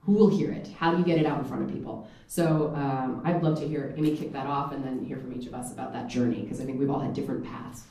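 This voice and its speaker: A woman's voice: a bass voice, fairly even intonation, quick pace, hardly any pauses, warm tone. A woman moderating a live panel discussion in front of an audience, talking freely with many fillers. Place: event room with an audience. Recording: echoey room, distant mic, faint noise.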